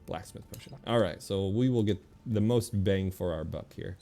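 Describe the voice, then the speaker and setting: A man giving casual video game commentary, thinking aloud in a relaxed, muttering way as he makes decisions. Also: lowish voice, normal speed.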